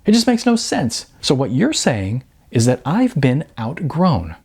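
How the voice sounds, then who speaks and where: A man's voice: medium pitch, wildly swooping pitch, measured pace, breathy edge, voice pushed up, full character voice. A man voicing an indignant, incredulous young woman being dumped by her boyfriend, in an audiobook. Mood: incredulous, hurt. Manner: incredulous, pedantic, pressing with sharp questions. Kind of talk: audiobook character dialogue.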